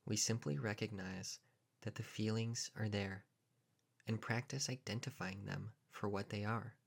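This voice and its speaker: A man with a fairly low voice narrates a guided meditation. He speaks calmly and deliberately, in short phrases set apart by long pauses. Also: gravelly.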